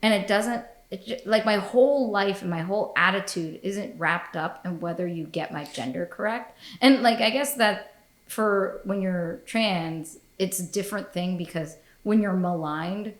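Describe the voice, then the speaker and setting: A woman's voice: mid-range voice, normal speed. Woman podcast host, casual chat, swears freely, frequent laughs, echoey room. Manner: blunt and profane, laughs often.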